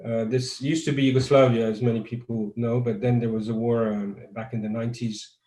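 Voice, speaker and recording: A man's voice: mid-range voice, flat delivery, a little fast, warm tone, reverberant, poor audio. A man from Croatia, a guest neuroscientist, answers interview questions over a video call in a thoughtful, conversational way and often restarts his sentences.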